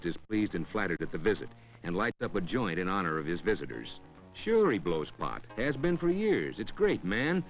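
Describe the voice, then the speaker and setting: A man reading scripted narration for a 1960s educational film in a wry storytelling way, echoing hip slang. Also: lowish voice. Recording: dull old 1960s film soundtrack with music. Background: background music.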